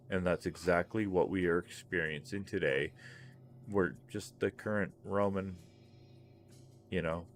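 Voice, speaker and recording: A man in his forties with a very low, deep voice, holding forth in casual voice chat while gaming. He rants in a rambling, profane and animated way. A faint hum sits behind him. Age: 40s. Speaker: streamer host.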